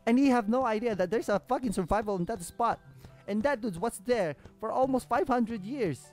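A man's voice: very high voice, moderate pace, darkish tone. A man hosting a gaming video with casual, off-the-cuff commentary, swearing in an exasperated, sarcastic way. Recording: music behind the voice.

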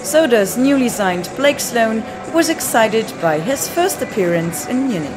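Lively high-pitched woman sports reporter. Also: measured pace, over music. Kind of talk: scripted narration of a game report.

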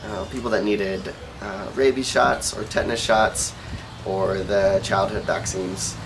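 A young man with an American accent speaks casually, as in a relaxed interview. His voice sits fairly low. He is recorded on an open-air terrace, with clearly audible background noise. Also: unhurried. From Oregon, United States. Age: young adult. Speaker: volunteer being interviewed. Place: outdoor rooftop terrace.

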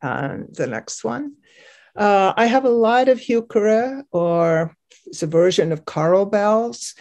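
Slow-talking older woman, informal and hesitant. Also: medium pitch, expressive, darkish tone. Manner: hesitant, chatty, unhurried. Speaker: gardener giving a garden tour. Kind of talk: informal narration of a photo slideshow. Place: at home indoors, on a video call. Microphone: computer microphone on video call.

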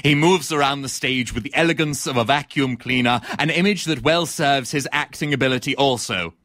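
Man playing a pompous, bright-voiced female theatre critic. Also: medium pitch, a little fast, no room sound, close to an everyday voice. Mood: withering, haughty. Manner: pompous, precisely worded, melodramatic. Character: haughty, embittered female theatre critic in meltdown. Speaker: actor performing a comic monologue. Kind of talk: theatrical comic soliloquy.

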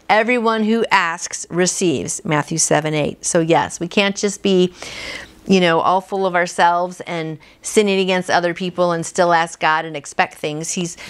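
A woman in her fifties hosting a devotional, reading aloud in an earnest, conversational way. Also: fairly low pitch. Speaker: devotional host. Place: small furnished room at home, indoors.

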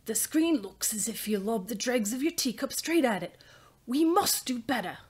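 A woman's voice: fairly high pitch, lively intonation, moderate pace, breathy edge, pitched above the performer's own voice. A woman voicing an exacting, emphatic male physicist with an attempted New Zealand accent. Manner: emphatic, urging.

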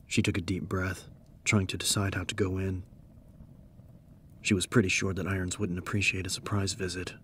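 A man narrating a scripted horror story as an audiobook, measured and serious. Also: low-pitched, a little hiss or hum.

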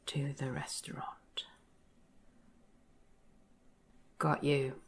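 Soft, breathy, slow-talking manipulative therapist woman acting. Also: deep voice, exaggerated voice. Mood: muttered note-taking. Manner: soft, breathy, often whispering, coaxing. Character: manipulative, obsessive female therapist, soothing and sly. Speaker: voice actor in audio roleplay. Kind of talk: intimate scripted ASMR roleplay, addressed to the listener.

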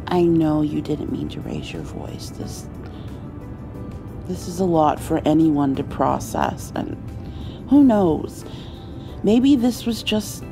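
A woman playing a caring, playful mother in an intimate ASMR roleplay, soothing her grown child with gentle, halting speech and endearments. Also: on the low side, creaky, heavily acted. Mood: gentle, concerned. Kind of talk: intimate comfort ASMR roleplay, acted as one side of a conversation.

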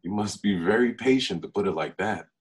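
A man reading the part of a smooth, mysterious, smirking online flirt in a film table read, deep-voiced, terse and probing. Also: lively intonation, a little fast. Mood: smooth, flirtatious.

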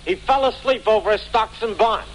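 Man playing a high-pitched, brash American cable car conductor. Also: normal speed, natural voice. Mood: brisk, brash. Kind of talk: 1940s radio acting. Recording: noisy 1940s radio recording.

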